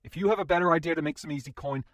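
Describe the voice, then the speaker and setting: A man's voice: fairly high pitch, racing, no room sound, clean studio sound, lightly acted. A man playing a polite, level-headed adventurer with formal wording in a fantasy audio drama. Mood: reasoning, sympathetic.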